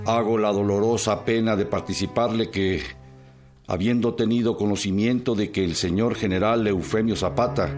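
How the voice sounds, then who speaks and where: An actor playing a town official who reads a formal, ceremonious report in a grave manner for a radio drama. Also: male voice, fairly low pitch, lightly acted. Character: male municipal official formally reporting a death by letter. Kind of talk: radio drama letter reading.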